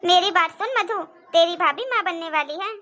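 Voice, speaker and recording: An older Indian cartoon mother-in-law, acted by a woman, with an extremely high, childlike pitch and a bright, sharp edge. She laments and coaxes her family with pleading insistence. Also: moderate pace, slight background noise. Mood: confiding. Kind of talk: cartoon family drama acting.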